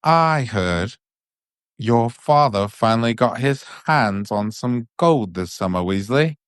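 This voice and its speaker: A man's voice: mid-range voice, lively intonation, slow, smooth, no echo, clean studio sound. A man voicing a spoiled schoolboy bully with a taunting, lazy drawl in a bedtime storybook reading. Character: Draco Malfoy, sneering, spoiled schoolboy bully.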